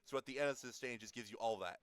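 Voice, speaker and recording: A man's voice: mid-range voice, rapid-fire, crowd noise. A man co-commentating a gaming tournament, explaining game mechanics hesitantly with fillers. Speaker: co-commentator and player. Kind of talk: casual esports color commentary. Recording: guitar game music underneath.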